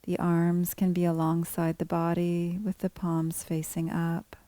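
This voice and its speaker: Slow, low-pitched woman guiding meditation. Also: no room sound. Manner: calm, unhurried, gently measured phrasing with pauses. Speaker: meditation teacher guiding a body scan. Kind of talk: guided meditation, scripted instruction.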